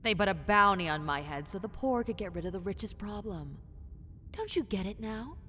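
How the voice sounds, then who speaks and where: A woman voicing a possessive, teasing lamia, a half-snake predator, in a roleplay audio drama, with condescending, seductive taunts that slip into breathy whispers. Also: medium pitch, animated, normal speed, pushed and bright. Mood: condescending, amused. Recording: long cave-like reverb, faint noise.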